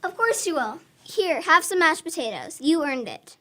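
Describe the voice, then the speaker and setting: Little girl doing a kindly farm grandma for a school radio play, high bright voice, earnest storyteller. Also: sing-song, normal speed. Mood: kindly, storytelling. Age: child, about 10. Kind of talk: school radio drama acting.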